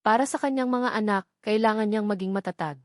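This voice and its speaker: Female story narrator, medium pitch, scripted romantic drama, very little room sound. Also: fast, no room sound, clean studio sound.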